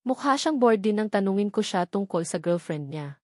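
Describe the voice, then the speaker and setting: A woman's voice: medium pitch, lively intonation, moderate pace, dark tone, no room sound. Woman narrating a novel, very dry sound. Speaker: audiobook narrator. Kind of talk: scripted first-person romance novel narration.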